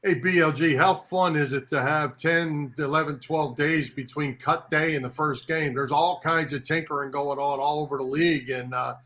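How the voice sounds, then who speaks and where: A man's voice: fairly high pitch, fairly even intonation, moderate pace, few pauses, a bit bright. A man co-hosting a sports show in a casual talk style.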